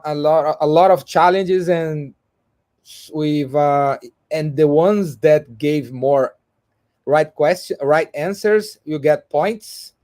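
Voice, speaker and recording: Slow-speaking man, halting English. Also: fairly high pitch, a little mellow. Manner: halting, repeats words, self-correcting. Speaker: co-host and English learner. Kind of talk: casual livestream chat.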